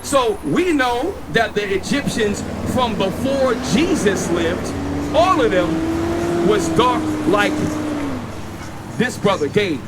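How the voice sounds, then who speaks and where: A man's voice: sits high, sing-song, slow pace. A man preaching outdoors on a city street, insistently explaining scripture and telling a companion to read. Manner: insistent, instructs a reader to read. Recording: loud street traffic behind. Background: passing vehicles.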